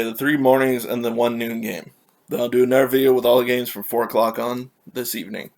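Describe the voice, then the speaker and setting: A man from California hosting a casual solo hockey recap, rambling and correcting himself as he reads scores and stats, a little raspy from allergies. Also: mid-range voice.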